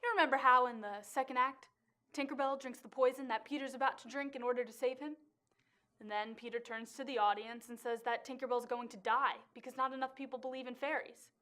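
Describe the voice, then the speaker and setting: A woman's voice: high-pitched, steady tone, brisk, sharp tone. A woman performing a stage monologue as a bewildered, intense young woman, with dramatic, emphatic storytelling. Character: young woman, bewildered and intense, recalling a traumatic childhood theater outing.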